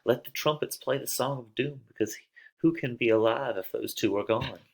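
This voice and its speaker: A man's voice: medium pitch, moderate pace, gravelly. A man playing a lovesick young girl in a dramatic reading of a tragedy, declaiming with open emotion. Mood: heartbroken. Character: lovesick young girl, impatient, then anguished and grieving.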